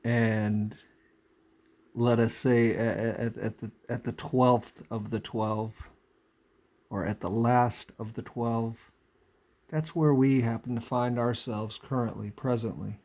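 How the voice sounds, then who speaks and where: A man giving an unscripted spiritual monologue in halting, deliberate phrases with pauses and repetition. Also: mid-range voice, fairly even intonation, darkish tone. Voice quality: frequent throat clearing. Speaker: spiritual speaker.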